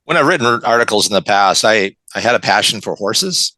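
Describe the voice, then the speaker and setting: A man's voice: lowish voice, animated, a little fast, bright, forceful tone, no echo. An older man with an American accent, talking reflectively in a conversational interview and often repeating his words. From West Coast of the United States. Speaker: interview guest, writer.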